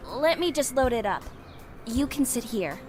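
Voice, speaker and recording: A woman voicing a shy, flustered young woman with a soft, sweet, high voice. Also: big pitch swings, moderate pace, music in the background.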